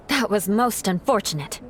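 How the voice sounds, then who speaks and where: Young female adventurer: composed, matter-of-fact, formal, middle register, video game delivery. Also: medium pitch. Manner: formal, measured phrasing. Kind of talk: English video game voice acting.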